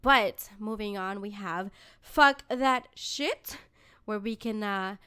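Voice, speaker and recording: A woman's voice: medium pitch, slow, sharp tone, smooth. A woman hosting a podcast in casual chat, informal and giggly, swearing freely. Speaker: podcast host.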